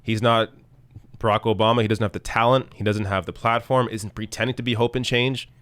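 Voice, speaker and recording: A man hosting a live political commentary stream, arguing bluntly and reading tweets aloud, with a voice that is going hoarse. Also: on the low side, fairly even intonation, fairly quick, fairly bright tone, dry recording. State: losing his voice.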